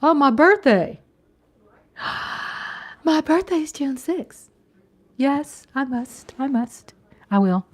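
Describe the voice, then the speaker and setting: A woman's voice: sits high, expressive, slow pace, long pauses. A woman in her fifties hosting a livestream, chatting casually to viewers and often repeating herself or checking in with them. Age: 50s. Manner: conversational, repeats phrases and checks in with "you know". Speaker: livestream host.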